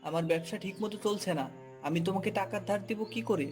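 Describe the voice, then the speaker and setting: Man voicing a high, hurried, stingy son. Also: darkish tone, faint music behind, natural voice. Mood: dismissive. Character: stingy, dismissive adult son. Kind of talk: cartoon folk tale dialogue.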